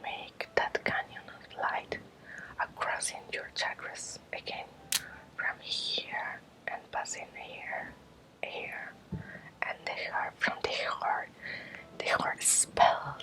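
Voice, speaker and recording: A woman with a Spanish accent guides an ASMR meditation in a breathy whisper, in short, halting, repeated phrases. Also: poor audio. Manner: slow, halting short phrases with gentle repetition. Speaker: ASMR creator guiding a Reiki energy exercise.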